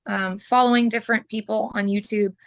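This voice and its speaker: A woman from Oklahoma, a butcher, talking frankly as a podcast guest while losing her voice and clearing her throat. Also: on the high side, fairly even intonation, moderate pace, no room sound. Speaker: butcher and mobile processor owner.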